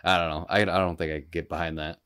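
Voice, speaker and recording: Deep voiced guy on a podcast, blunt and sweary, casual chat, really dry sound. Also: level tone, quick pace, fairly bright tone, smooth, dry recording. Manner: blunt, profane. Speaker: podcast co-host. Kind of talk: casual chat, opinionated film and game discussion.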